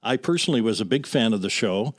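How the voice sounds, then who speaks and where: A man's voice: lowish voice, lively intonation, fast talker, no room sound. A man hosting a podcast, putting conversational questions to a guest. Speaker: podcast host.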